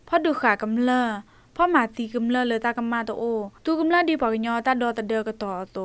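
Female news reader, high voice, dry close studio sound. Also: no echo. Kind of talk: scripted news bulletin.

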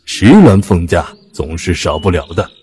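An ancient immortal master in a fantasy audio drama. His voice is very low and soft-edged, full of an old man's grumbling and fretting, with a playful streak. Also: animated, normal speed. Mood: wry, worried. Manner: sighing, grumbling.

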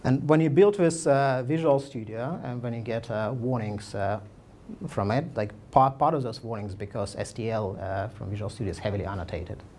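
A man with a gravelly, creaky voice talks through slides at a meetup in a loose, filler-heavy way. He speaks at an ordinary pitch, with faint low rumble in the room behind him. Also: normal speed, a little mellow. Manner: casual, filler-heavy, frequent "like" and restarts. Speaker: conference speaker. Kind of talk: technical talk with slides.

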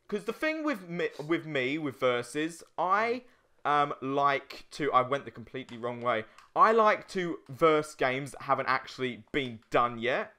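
A young man with a bright, clear-cut voice co-hosts a let's play. He talks casually while he plays, in an informal, sweary way. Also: fairly high pitch, very animated, a little slow. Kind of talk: casual chat while playing a video game.

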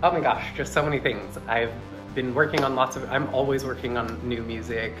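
Male vlogger, thirties, effusive and casual, medium pitch, clear instrumental bed underneath. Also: steady tone, fairly quick. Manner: effusive, upbeat. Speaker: vlogger and musician. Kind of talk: casual chat to camera. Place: small room indoors. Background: instrumental music.

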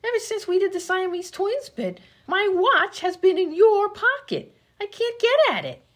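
A woman's voice: very high-pitched, sing-song, normal speed, fairly bright tone, exaggerated voice. A woman playing a dim, chatty 1920s vaudeville comedienne, slangy and easily indignant, in an old-time radio comedy sketch. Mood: naive, defensive. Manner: scatterbrained, slangy, literal-minded.

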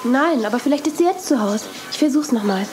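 A woman's voice: sits high, animated, brisk, background noise. An actress playing an upbeat, eager young woman planning a party, in an audio drama. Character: cheerful, enthusiastic young woman hostess. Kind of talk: 1980s radio play acting.

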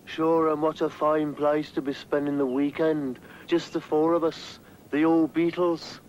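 A man's voice: fairly high pitch, flat delivery, a little slow, a little mellow, close to an everyday voice. A man voicing a cheeky, wry young pop musician in a cartoon. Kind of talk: 1960s animated cartoon acting. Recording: dull, noisy old cartoon soundtrack.

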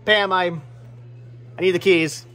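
A man in his forties with a slightly high voice, vlogging as he shops indoors. He rambles playfully, breaking off and correcting himself, in a casual, chatty style into a camera held at arm's length. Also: steady tone, measured pace, fairly bright tone. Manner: rambling, self-interrupting, playful. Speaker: vlogger and movie collector. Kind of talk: casual chatty shopping vlog. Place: indoor video and game shop. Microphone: handheld camera at arm's length.

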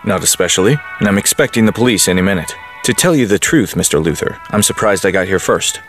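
An actor playing an earnest, awkward but stubborn young farm-bred superhero in an audio drama, speaking in a terse, stiff way. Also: male voice, low voice, expressive, quick pace, fairly bright tone, faint music behind. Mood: uneasy, guarded.

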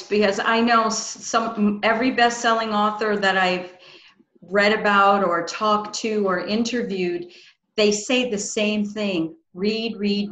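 A woman's voice: medium pitch, a bit bright. A middle-aged woman hosting a conversational interview over a video call, effusive and encouraging. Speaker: host. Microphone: video call, close to webcam. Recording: echoey room, dull video-call audio.

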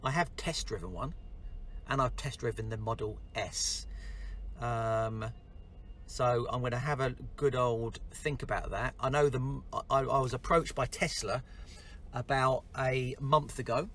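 A man's voice: mid-range voice, unhurried, fairly bright tone. A middle-aged man with an English accent chatting casually and in a rambling, informal way in a car vlog. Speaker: vlogger, design and construction business owner. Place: inside a car. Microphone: camera at about arm's length. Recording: clear vehicle noise inside a car.

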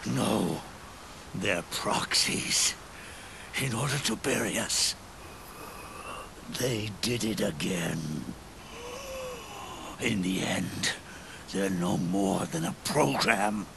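An actor playing a weary, regretful old soldier near death in a video game cutscene, with a gravelly, breathy, halting and pained delivery. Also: male voice, fairly low pitch, expressive, noisy background, heavily acted. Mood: pained, bitter. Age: older. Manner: halting, solemn, labored delivery. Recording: orchestral music behind the voice.

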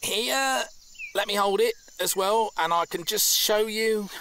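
A man's voice: sits high, unhurried, pushed and bright, smooth, heavily acted. A man playing a mild railway surveyor in an audio drama. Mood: mild, coaxing.

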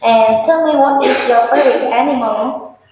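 A woman's voice: high voice, moderate pace, darkish tone, distant mic. A young woman with a Vietnamese accent, an English teacher patiently asking and repeating speaking-test questions in a classroom on a headset mic. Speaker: English teacher examining students. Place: classroom, indoors. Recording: very echoey classroom, faint noise.

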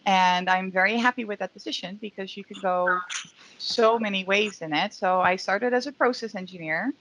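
A woman from the Netherlands, a safety engineer, talking in a relaxed, plain way on a video call. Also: mid-range voice, moderate pace, a little hiss or hum, rough recording. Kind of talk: casual video-call chat.

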